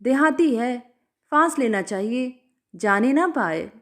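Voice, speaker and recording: Woman acting brusque, suspicious male temple devotee, high-pitched. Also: expressive, slow. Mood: suspicious, scheming.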